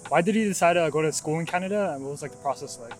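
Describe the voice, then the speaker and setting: A man's voice: on the high side, animated, racing. A young man hosting a casual interview, chatting informally as he asks questions. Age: young adult. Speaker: interviewer and video host.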